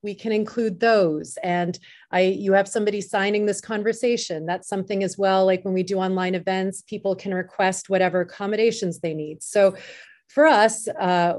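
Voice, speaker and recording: A woman's voice: mid-range voice, darkish tone. A woman speaking as a panel guest, explaining things fluently in a conversational style. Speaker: film festival organizer, panel guest.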